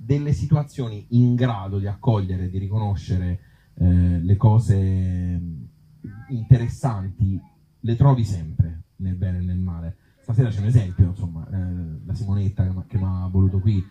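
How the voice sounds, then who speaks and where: A man with a Tuscan accent talking informally at a live event as an actor and author, in halting, circling phrases with blunt joking asides. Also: deep voice, muffled recording. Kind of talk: informal live talk with the audience. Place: outdoor evening event in an olive grove.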